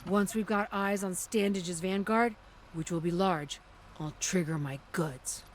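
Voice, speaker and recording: An actress playing a shrewd technical specialist in a game, speaking with deliberate confidence. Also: female voice, lowish voice, slight background noise, close to an everyday voice. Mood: assured. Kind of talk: video game dramatic dialogue.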